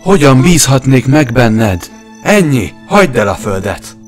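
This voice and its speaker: Man playing a heartfelt young village man, lively intonation. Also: medium pitch, soft background music, close to an everyday voice. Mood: hurt, stern. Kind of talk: animated fairy tale dub.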